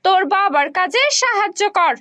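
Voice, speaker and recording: A woman playing a sarcastic, dramatic village mother from a folk-tale cartoon, scolding in drawn-out phrases. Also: extremely high pitch, expressive, a bit bright, no room sound.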